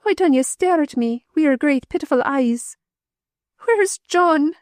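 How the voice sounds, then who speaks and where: A woman plays a sickly poor wife from a Northern mill town, speaking in a thick dialect. Her voice climbs high and swings wildly as querulous complaint turns to frantic pleading. The tone is mellow and dry, recorded close. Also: animated, measured pace, no room sound. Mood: frantic grief. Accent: Northern English dialect put on. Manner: querulous, rising into wild distraught pleading.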